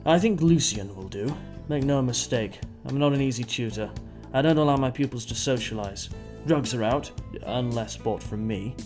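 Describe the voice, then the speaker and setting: A man playing a sly, cocky male tutor and tech schemer in an audio drama, smooth-talking and domineering. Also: medium pitch, moderate pace, a little mellow, close to an everyday voice. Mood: commanding. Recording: music under the voice.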